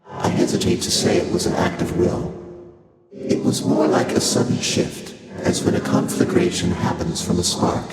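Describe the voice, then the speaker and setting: An ancient cosmic void entity from a video game, really low echoey voice, slow stately formal talk, calm and unreadable. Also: male voice. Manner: measured, stately, archaic formal phrasing. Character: ancient genderless primordial void being, formal, serene, inscrutable. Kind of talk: scripted video game character dialogue. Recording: echoey cavernous reverb, loud music behind.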